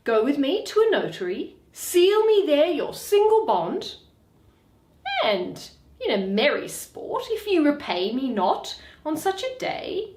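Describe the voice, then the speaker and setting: A bitter, wronged older moneylender from a Shakespeare play, acted out by a woman, mocking and sardonic. The pitch is high and swings widely, and the words are drawn out slowly in an echoing room. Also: big pitch swings, darkish tone, full character voice. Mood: slyly friendly. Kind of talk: dramatic Shakespeare reading. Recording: roomy, echoing.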